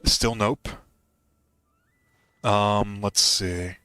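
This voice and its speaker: A man's voice: lowish voice, steady tone, extremely slow, bright, forceful tone, clear, resonant tone, no room sound. A man streaming a video game, talking casually and explaining strategy, often rephrasing his points. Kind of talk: casual game commentary and advice.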